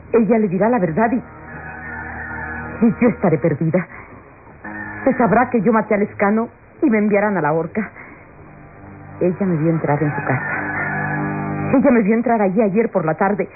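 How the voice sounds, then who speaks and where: A woman's voice: medium pitch, normal speed, pauses often, close to an everyday voice. Radionovela villainess, played by a woman: scheming, desperate, melodramatic self-talk. Narrow vintage radio sound with prominent music. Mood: desperate, menacing. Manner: melodramatic, talking to herself. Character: scheming, desperate murderous niece plotting to silence her aunt. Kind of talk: classic radio soap acting. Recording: tinny old radio recording, music behind.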